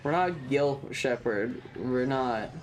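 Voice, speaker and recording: A man's voice: medium pitch, takes it slowly, slight background noise, rough recording. A man streaming a video game with casual live commentary, in a playful, sarcastic and theatrical manner. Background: video game ambience.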